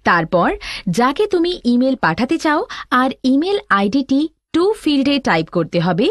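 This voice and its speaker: A woman's voice: high voice, very animated, normal speed, dry recording, studio quality. A woman giving scripted instructional narration, explaining things step by step as a tutorial instructor.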